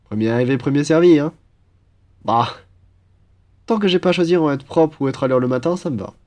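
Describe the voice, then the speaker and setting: A man's voice: on the high side, lively intonation, brisk, darkish tone, lightly acted. A young man playing an upbeat, cheeky student roommate in a French roleplay, with a playful, slangy, enthusiastic manner. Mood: casual, friendly. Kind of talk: casual French roleplay acting.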